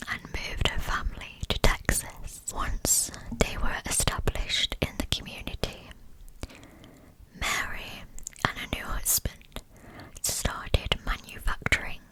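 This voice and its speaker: Slow whispering woman, ASMR narrator. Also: poor audio. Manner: hushed, soothing delivery meant for sleep. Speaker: ASMR channel host.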